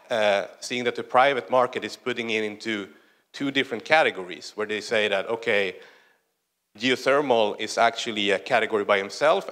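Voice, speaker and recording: A man from northern Sweden with a Swedish accent, giving a conference presentation in a large hall in a conversational way with many "uh" pauses. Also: on the low side, fairly bright tone. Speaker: conference speaker.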